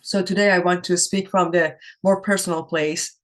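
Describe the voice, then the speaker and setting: A woman's voice: fairly low pitch, steady tone. Earnest woman from Iran, storytelling keynote. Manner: earnest, reflective, with pauses and self-corrections. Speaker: keynote speaker.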